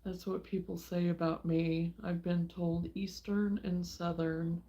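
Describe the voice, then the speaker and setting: Livestream host: woman from Illinois, folksy and chatty, unhurried delivery, laughs easily, home setting. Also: fairly low pitch, level tone, warm tone. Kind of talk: casual chat with viewers.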